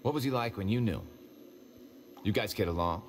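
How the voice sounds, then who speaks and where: A man's voice: on the low side, moderate pace, slight background noise, lightly acted. A man playing a wary, plain-spoken survivor in a dramatic video game.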